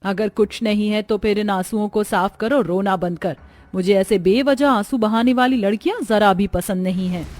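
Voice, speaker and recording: A woman's voice: medium pitch, moderate pace, a little mellow, dry recording, natural voice. A woman voicing a stern, wealthy middle-aged mother-in-law in a cartoon story, with a commanding, brooding manner. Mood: stern, scolding. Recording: clear music bed behind voice.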